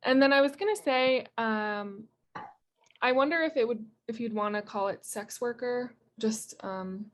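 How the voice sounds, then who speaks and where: A woman's voice: fairly high pitch, unhurried. A woman giving casual, unscripted feedback on a video call in a tentative, hedging way. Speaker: participant giving script feedback.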